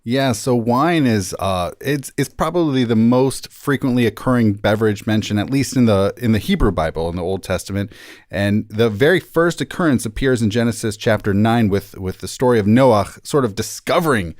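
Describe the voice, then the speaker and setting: A man, a rabbi guesting on a podcast, explaining things conversationally with some halting, restarted phrasing. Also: lowish voice, lively intonation, no echo, clean studio sound.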